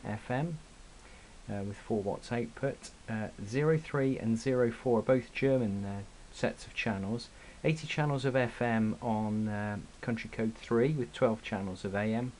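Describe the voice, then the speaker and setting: A man's voice: lowish voice, a little mellow, poor audio. Man, hissy amateur recording. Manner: hesitant, informal, unscripted delivery. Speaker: radio hobbyist reviewer. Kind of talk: casual product review and demonstration.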